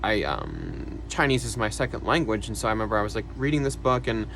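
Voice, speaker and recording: A young man talking casually to camera about his own life, soft-spoken and hesitant, with many fillers and quiet sighs. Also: on the low side, sing-song, fast, vocal fry, noisy background. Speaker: vlogger. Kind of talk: casual personal talk to camera.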